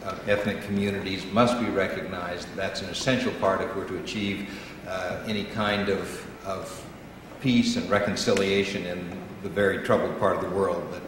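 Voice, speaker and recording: A middle-aged man giving measured, somewhat halting closing remarks as chair of a congressional briefing, at a desk microphone. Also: deep voice. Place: large hearing room. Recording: echoey hall, faint room noise.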